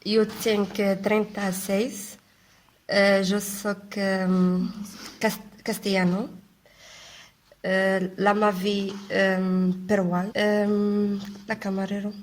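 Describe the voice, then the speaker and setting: A woman from the Maghreb, introducing herself haltingly as a language student. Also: mid-range voice, flat delivery. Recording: faint noise with clicks.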